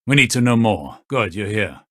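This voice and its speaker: An actor playing a stern, shrewd veteran military spymaster in a game, speaking in a measured, commanding, cryptic way. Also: male voice, fairly low pitch, big pitch swings, bright, forceful tone, dry recording, clean studio sound. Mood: cool, probing. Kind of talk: scripted game voice acting.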